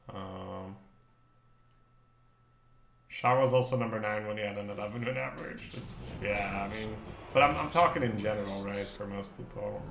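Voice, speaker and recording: A man's voice: fairly low pitch, vocal fry, fairly bright tone, echoey room, a little hiss or hum. A man from northern Belgium whose native language is Dutch, chatting casually and thinking aloud with some swearing as he streams a game. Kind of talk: casual live game commentary, chatting with viewers.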